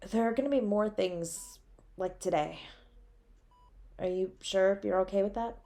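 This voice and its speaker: A woman's voice: mid-range voice, a little mellow. A woman playing a devoted, guilt-ridden superhero in an intimate, emotional audio roleplay, with a pleading, tender way of speaking. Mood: tender, relieved. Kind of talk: dramatic audio roleplay, intimate second-person.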